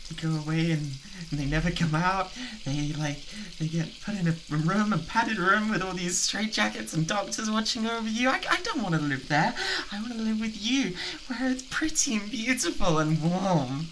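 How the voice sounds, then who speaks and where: A man with a British accent playing an obsessive, unhinged boyfriend, doting and menacing, in a halting, intimate ASMR roleplay. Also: high voice, lively intonation, fairly bright tone, a little hiss or hum, low-quality recording. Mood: anxious, unravelling. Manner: halting, giggly, theatrically coaxing.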